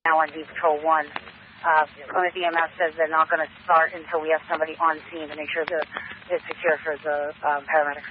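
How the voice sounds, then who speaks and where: Clear noise runs through this police radio audio. A man with a high voice and flat intonation passes on procedural dispatch updates. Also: fast, narrow, tinny audio.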